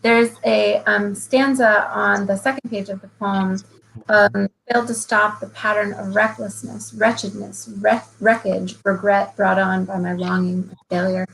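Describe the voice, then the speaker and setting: Gravelly-voiced woman interviewing thoughtfully. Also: mid-range voice, level tone, measured pace, slight background noise, poor audio. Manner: thoughtful, riffing, warmly admiring. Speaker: interviewer and fellow poet. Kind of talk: conversational interview.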